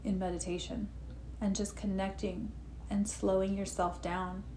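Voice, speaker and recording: A woman guiding a relaxed live meditation session, gently talking, with personal asides, sniffly from allergies. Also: medium pitch, unhurried. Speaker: meditation guide. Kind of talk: guided meditation talk. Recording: echoey room with faint noise.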